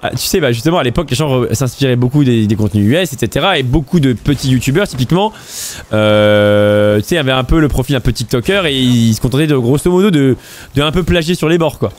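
A man's voice: medium pitch, lively intonation, fast. A man hosting a stream, chatting casually while he plays a game, rambling with repeated words and fillers and cursing freely. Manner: swears casually. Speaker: streamer host. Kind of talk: casual chat while gaming.

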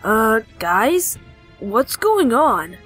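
A woman doing a lively, casual cartoon boy dragon. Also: on the high side, very slow, husky, soft background music, exaggerated voice. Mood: confused. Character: young boy dragon sidekick, casual and carefree. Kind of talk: cartoon storybook character reading.

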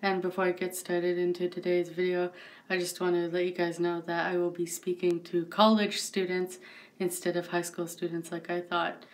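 A woman in her thirties chatting casually on her vlog with a stuffy nose, talking into a phone camera at arm's length in a small bedroom. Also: lowish voice. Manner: chatty and excitable, laughs often. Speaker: YouTuber.

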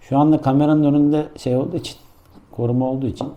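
A man's voice: mid-range voice, fairly even intonation, rapid-fire, warm tone. A man doing a casual hands-on product review, explaining things step by step in an informal, improvised way. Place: indoor room.

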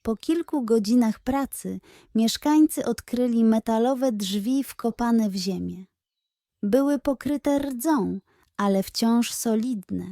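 A woman's voice: a little high, takes it slowly, mellow, no echo, studio quality. A woman narrating a scripted bedtime story for children as a storyteller.

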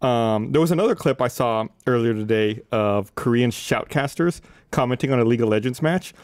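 A man in his thirties hosts a gaming podcast in a casual, conversational way. He has a mid-pitched voice, recorded close and dry on a studio mic. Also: a little fast, darkish tone, no echo. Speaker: podcast host. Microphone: desk studio microphone.

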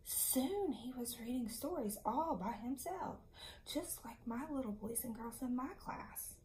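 A woman with a fairly high, very lively voice reads a storybook to small children. She is expressive and teacherly and checks in with her young listeners. The room around her is clearly echoey. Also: unhurried. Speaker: schoolteacher. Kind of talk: picture book read-aloud for young children. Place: small indoor classroom-style room.

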